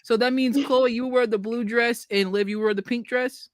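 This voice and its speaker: A podcast panelist talking casually in a group chat, with other people chattering loudly around. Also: mid-range voice, fairly even intonation, moderate pace, a loud crowd.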